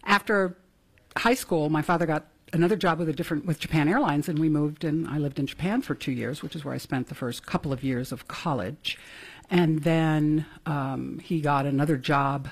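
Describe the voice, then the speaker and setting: A middle-aged woman from Venezuela speaks as a candidate on a talk show, with a slightly husky voice. She tells her story fluently and jokes at her own expense. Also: low-pitched, normal speed. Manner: self-deprecating, joking, fluent. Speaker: political candidate. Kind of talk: interview guest.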